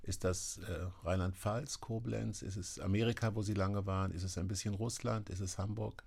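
A man hosting a radio interview, asking measured questions with occasional hesitations. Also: fairly low pitch, steady tone, vocal fry, a little mellow, breathy edge. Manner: measured, asks questions with fillers. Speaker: interview host.

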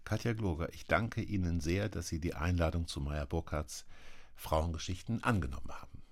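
A man hosting a radio interview, asking measured questions with occasional hesitations. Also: deep voice. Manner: measured, asks questions with fillers. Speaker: interview host.

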